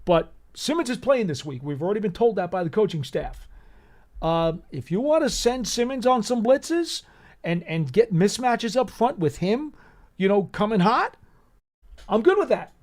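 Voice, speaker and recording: A man's voice: sits high, very animated, normal speed, a little mellow. A man co-hosting a sports radio show, answering a caller firmly and reassuringly. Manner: firm, reassuring, argumentative with callers. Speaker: radio co-host.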